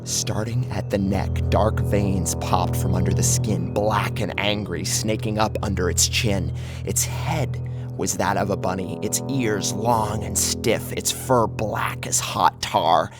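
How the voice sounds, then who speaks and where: Low-voiced man narrating horror over loud music. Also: fairly even intonation, slow. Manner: dramatic, theatrical delivery. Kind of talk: scripted first-person horror narration.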